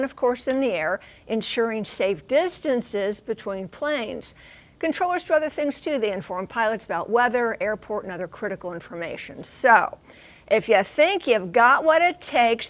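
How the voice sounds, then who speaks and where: A mature American woman correspondent explaining things on live TV news in an animated, folksy, emphatic way. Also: on the high side. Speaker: transportation correspondent.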